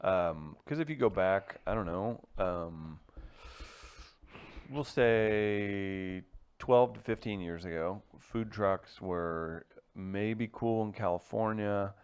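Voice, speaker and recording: Chatty low-voiced male podcast host. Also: slow pace, a little mellow, clean tone. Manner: loose and chatty, full of "like" and fillers. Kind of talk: casual interview chat.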